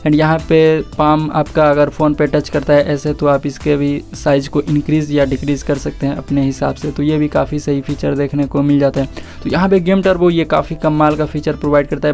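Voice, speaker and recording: A man's voice: a little high, a little mellow. A man with an Indian accent doing a casual tech walkthrough voice-over, mixing in English words and addressing viewers directly. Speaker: tech YouTuber, host.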